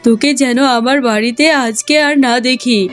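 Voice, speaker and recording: A woman's voice: fairly high pitch, steady tone, normal speed, lightly acted. Woman as a harsh, scolding tigress landlady. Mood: angry, scolding. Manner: scolding, demanding. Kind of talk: children's cartoon voice acting. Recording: music under the voice.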